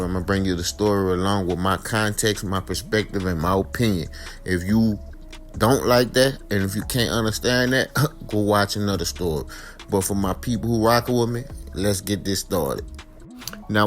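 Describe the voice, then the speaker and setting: An American man hosting informal true crime commentary, speaking colloquially and straight to his viewers, repeating phrases for emphasis. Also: deep voice. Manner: colloquial, direct address to viewers, emphatic repetition. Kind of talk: informal crime storytelling with personal opinion. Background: background music.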